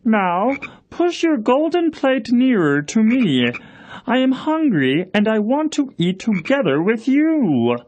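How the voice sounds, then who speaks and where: A little male frog from a children's storybook, acted out by a woman, high and very animated. He whines and pleads insistently, drawing out his demands at an unhurried pace. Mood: demanding. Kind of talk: storybook voice acting.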